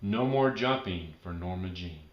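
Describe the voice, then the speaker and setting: A man's voice: low voice, unhurried, roomy sound, muffled recording, voice pushed down. A man voicing an exuberant, childlike little girl in a children's storybook. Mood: glum, resolute. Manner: excited, exclamatory, childlike. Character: exuberant, bouncy little girl who loves jumping.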